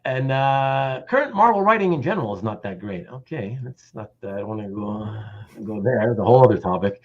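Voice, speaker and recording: A man's voice: medium pitch, lively intonation, fairly quick, lo-fi sound. A man hosting a casual livestream about comic art, reading out chat comments and rambling with repeated words and self-interruptions.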